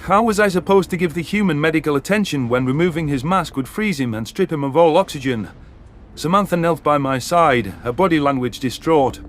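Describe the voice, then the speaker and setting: A man's voice: fairly high pitch, a little fast, a little hiss or hum. A man narrating a science fiction story in the first person with dramatic delivery. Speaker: audiobook narrator. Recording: narration over background music.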